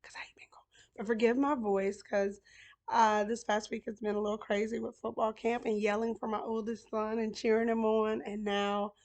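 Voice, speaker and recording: A middle-aged woman recapping a reality show in casual chat, hoarse from losing her voice, talking straight to the contestants. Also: a little high, level tone, moderate pace, darkish tone. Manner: chatty, talks straight to contestants. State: hoarse, lost voice.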